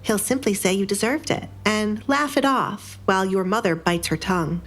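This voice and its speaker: A woman's voice: medium pitch, normal speed, close to an everyday voice. A woman voicing a wronged young noblewoman in an audio drama, stern, defiant and vengeful. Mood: scornful. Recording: background music under the voice.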